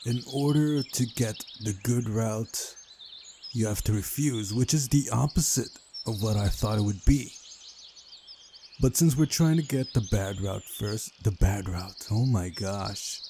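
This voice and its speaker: Slow-paced, low-voiced man over music. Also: dark tone, slight background noise. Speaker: host of a game playthrough. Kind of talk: casual playthrough chat and read-aloud narration of a visual novel. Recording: music playing behind the voice. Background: game music.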